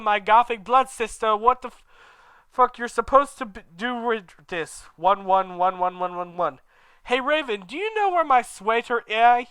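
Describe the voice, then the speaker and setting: A melodramatic teenage gothic vampire boy, voiced by a man in a fan fiction reading. The voice sits far above a grown man's usual pitch and tumbles out in breathless, rushed bursts. The tone is bright, crisp and dry. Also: no echo, full character voice. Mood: whiny.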